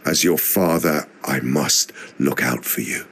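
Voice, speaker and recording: A gravelly stern father. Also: rumbling low, unhurried, a bit bright, slight background noise, heavily acted. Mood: solemn. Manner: firm and commanding. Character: stern protective father, middle-aged man. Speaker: game character. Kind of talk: video game cutscene acting.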